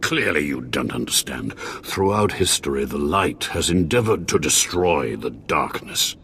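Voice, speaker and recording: An actor playing a grave, anxious Sith lord scholar with a formal, theatrical manner and husky voice in a video game. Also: male voice, very deep voice, sing-song, measured pace, fairly bright tone, slight background noise, exaggerated voice. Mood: stern. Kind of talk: video game cutscene acting.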